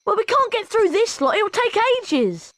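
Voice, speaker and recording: A woman voicing an excitable, anxious young schoolboy wizard in a video game, speaking in an earnest, exclamatory way. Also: extremely high pitch, moderate pace, no room sound, studio quality, full character voice.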